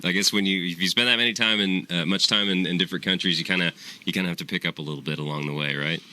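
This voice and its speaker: A male radio host interviewing a guest in the studio, talking in a halting way with many "uh"s and repeated words. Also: deep voice, steady tone, bright, forceful tone.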